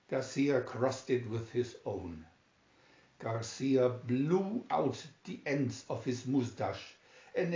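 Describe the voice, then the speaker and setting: A man reading a storybook as dramatic narration, deliberate and theatrical, in a dull, roomy recording. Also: medium pitch.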